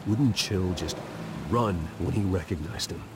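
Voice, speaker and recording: A man's voice: low-pitched, unhurried, noisy background. An actor playing a brooding, wealthy orphaned vigilante in his thirties, speaking with terse, demanding intensity in a dramatic video game. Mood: troubled, questioning.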